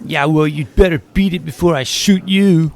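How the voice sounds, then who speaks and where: An actor playing a threatening junior high bully boy in a comedic audio drama, taunting as he talks. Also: normal speed, vocal fry, soft background music. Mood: menacing. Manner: menacing, taunting.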